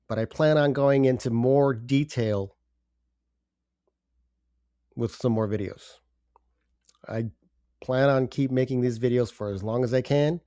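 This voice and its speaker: Older male podcast host with a head cold, stuffy and low-key, dark mellow tone, dry close sound. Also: clear, resonant tone, no echo. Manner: halting, subdued, with pauses and fillers. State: congested from a cold. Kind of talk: personal confessional monologue.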